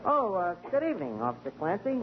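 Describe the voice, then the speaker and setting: An actor playing a streetwise tavern manager who talks in slang and fumbles fancy words, in 1940s radio comedy style. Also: male voice, high-pitched, wildly swooping pitch, fast, over a noisy crowd. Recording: muffled, very hissy 1940 radio broadcast.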